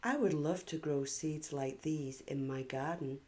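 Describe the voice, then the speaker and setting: A woman's voice: low-pitched, measured pace, a little mellow. A woman voicing an earnest, eager little girl in a children's storybook. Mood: wistful.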